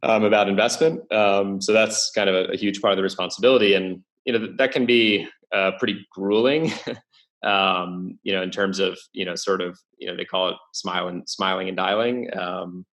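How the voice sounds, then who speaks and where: Low gravelly-voiced young man. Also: fairly even intonation, moderate pace, a bit bright. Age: young adult. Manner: hesitant, many fillers and restarts. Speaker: podcast guest, venture investor. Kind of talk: casual interview talk.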